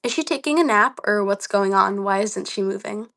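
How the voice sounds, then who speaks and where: A woman's voice: medium pitch, moderate pace, creaky, a bit bright. Casual young woman livestreamer, voice a little dry and worn, reading comments and chatting. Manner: chatty, reads comments aloud, many ums and likes. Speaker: livestream host.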